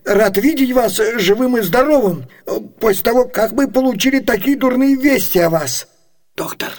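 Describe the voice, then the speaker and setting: Shrill, blustering old merchant. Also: male voice, expressive, moderate pace, a little mellow. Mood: baffled, doubtful. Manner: spluttering, exclamatory. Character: blustering, irascible old Venetian merchant, sceptical and excitable. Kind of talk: theatrical comic radio play acting.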